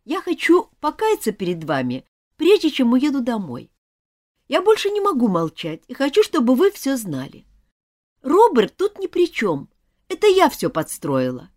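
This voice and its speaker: A woman's voice: high voice, wildly swooping pitch, normal speed, darkish tone, voice pushed up, heavily acted. A woman voicing a miserable, jealous schoolgirl who hates herself, her voice trembling near tears. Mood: confessing. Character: miserable self-hating schoolgirl, jealous and tearful.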